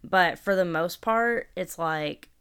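Casting note: Southern woman podcast co-host, low and gravelly, reads notes with lots of ums. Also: slow, a bit bright. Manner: reads notes with fillers and restarts. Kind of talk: casual chat.

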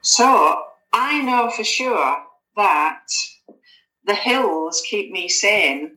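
A woman's voice: mid-range voice, expressive, extremely slow, sharp tone, reverberant, low-quality recording. A woman, a guest on a podcast, chatting casually with lots of fillers and restarts. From West Yorkshire, England. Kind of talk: casual conversational interview.

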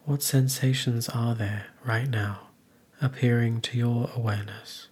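A man leading a guided meditation, speaking gently in measured phrases with long pauses. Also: medium pitch, steady tone, breathy edge. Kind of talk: guided meditation, soothing instruction.

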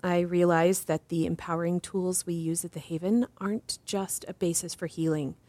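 Actress as a serious principled doctor lady, careful formal wording, slightly low voice, no room sound. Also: fairly even intonation, mellow, dry recording, natural voice. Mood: earnest. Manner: formal, precise, measured. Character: formal, earnest, principled female doctor and advocate. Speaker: interview guest. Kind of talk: scripted drama interview.

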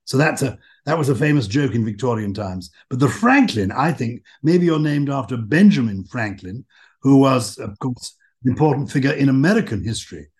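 An older British man hosting an interview, erudite and fond of wordplay, speaking in a measured way. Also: mid-range voice, expressive. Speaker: podcast host. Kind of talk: conversational interview.

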